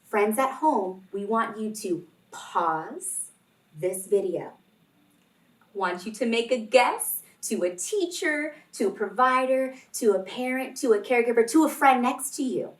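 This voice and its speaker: Slow, animated woman reading to children. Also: on the high side. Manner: deliberate, addresses children as friends. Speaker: children's read-along host and storyteller. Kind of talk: expressive picture-book read-aloud and talk to young children. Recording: roomy, distant microphone, music behind.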